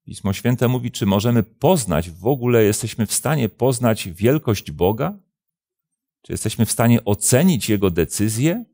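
A man in his fifties, a pastor hosting a programme, answering religious questions in a measured, deliberate way with hesitant pauses. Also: mid-range voice, lively intonation, mellow, dry recording, studio quality. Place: indoor studio, seated at a desk.

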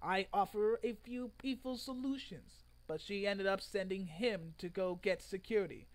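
A man's voice: sits high, normal speed, poor audio. A man in his twenties giving an exaggerated, theatrical dramatic reading of a written post at home. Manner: theatrical, exaggerated delivery with sudden loud outbursts. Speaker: online video creator. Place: room at home.

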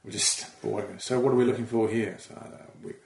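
Gravelly, low Australian man, rambling. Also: steady tone, fairly quick, a little mellow. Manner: rambling, self-deprecating, trails off. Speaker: comedian guest. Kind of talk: casual chat. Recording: echoey room.

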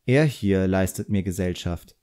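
A man's voice: deep voice, measured pace, warm tone, smooth. A man voicing a proud, earnest boy apprentice magician in an audiobook, naive and easily indignant.

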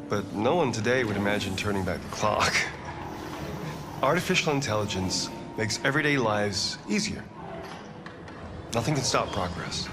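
A man playing a self-assured tech founder in his thirties in a game, measured and explanatory, recorded with ambient noise. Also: lowish voice. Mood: assured, dismissive. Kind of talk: scripted game interview. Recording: clear ambient noise behind voice.

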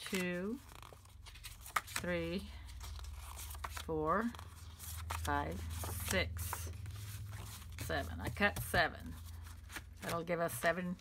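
A woman with a low, gravelly voice talks unhurriedly as she works on cards at her craft table. She mutters measurements under her breath in a low-key way. There is steady hiss in the recording, with paper rustling and cutter clicks. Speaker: paper craft channel host. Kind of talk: casual talk while cutting paper for cards. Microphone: overhead camera over a craft table.

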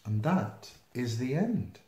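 Slow, expressive man reading a picture book. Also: medium pitch. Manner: expressive, slow, with playful sound effects and asides. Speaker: storytime reader. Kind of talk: children's picture-book read-aloud. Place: small indoor room, seen close to the camera. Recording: roomy, echoey home video sound.

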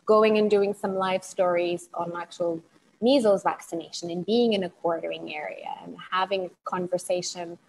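A woman's voice: on the low side, fairly even intonation, normal speed, creaky, a little mellow, slight background noise, low-quality recording. A woman from Portugal, a guest speaker on an academic webinar, answering thoughtfully with frequent restarts over earphone mic on a video call. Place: home room with bookshelves. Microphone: wired earphones mic on video call.

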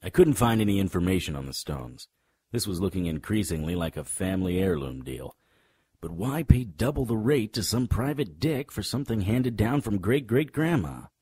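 Sardonic tough-guy noir detective, very deep, dry close sound, for radio drama. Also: very animated, moderate pace, darkish tone, husky, dry recording, full character voice. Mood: wry, world-weary. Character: hard-boiled male private detective, sardonic and threatening.

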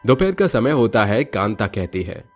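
A man's voice: lowish voice, big pitch swings, normal speed, faint music behind. A man narrating a scripted story as its storyteller.

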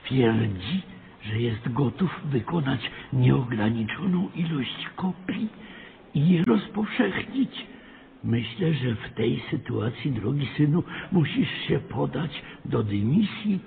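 Gravelly, sanctimonious parish priest. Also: male voice, medium pitch, expressive, phone-quality sound. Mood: gravely insinuating. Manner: slow, preachy, ponderous phrasing. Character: stern, sly, sanctimonious male parish priest. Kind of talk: radio drama acting. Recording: thin band-limited radio sound, reverberant, faint noise.

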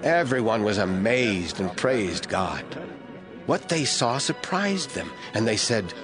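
A man narrating a scripture audio drama from a script in a deliberate storytelling manner. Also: fairly low pitch, very animated. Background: faint music.

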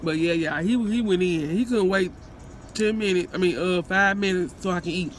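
A woman in her thirties chatting casually and slangily to viewers as a vlogger, animated and often eating, filming herself inside a car. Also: lowish voice, normal speed. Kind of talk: casual vlog chat. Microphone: phone at arm's length. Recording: noisy in-car phone recording.

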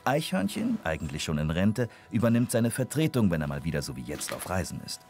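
A man's voice: deep voice, sing-song, brisk, darkish tone, faint music behind. A man narrating a scripted children's audio story.

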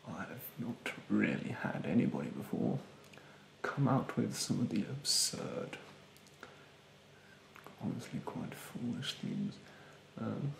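A man in his twenties doing an ASMR roleplay as a rude beautician, speaking softly and breathily, often near a whisper, in a haughty, condescending way. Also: fairly low pitch, vocal fry, roomy sound, a little hiss or hum, rough recording. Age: 20s. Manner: haughty, condescending, politely insulting. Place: small bedroom, indoors.

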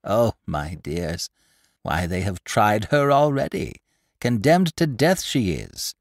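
A man's voice: on the low side, expressive, slow pace, no echo, clean studio sound, voice pushed up. A man voicing a stout, gossipy older woman shopkeeper in an audiobook, chattering in a breathless, exclamatory way. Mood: gossiping. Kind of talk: audiobook character reading.

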